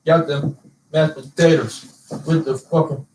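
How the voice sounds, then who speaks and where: A man's voice: a little high, steady tone, unhurried, darkish tone, distant mic, a little hiss or hum. A young man chatting casually with a friend and freestyling raps, loose and profane. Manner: loose, profane, laughing banter. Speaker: rapper. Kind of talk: casual chat and freestyle rapping. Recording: roomy, from off the mic.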